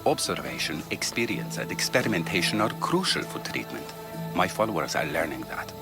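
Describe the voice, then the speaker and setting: Casting: authoritative, busy physician for a game; fairly low, expressive voice, firm teacher's manner. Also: male voice, gravelly, over loud music, heavily acted. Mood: brisk. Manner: brisk, firm, didactic. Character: brisk, authoritative male physician, learned and principled. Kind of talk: video game dialogue acting.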